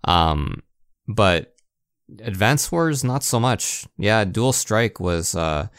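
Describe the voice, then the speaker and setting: A man with a low voice co-hosts a casual video game podcast. He is conversational and opinionated, and the sound is dry with no room in it. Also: expressive, slow pace, fairly bright tone, clean tone, dry recording. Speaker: podcast co-host.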